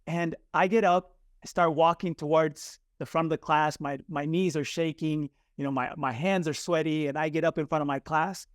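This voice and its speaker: A man's voice: on the high side, normal speed, few pauses, clean tone, no echo, studio quality. A man in his forties, born in Chile with Spanish as his first language, telling a personal story as a podcast guest and acting out the voices he quotes. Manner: animated, acts out quoted voices. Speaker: guest, communication coach.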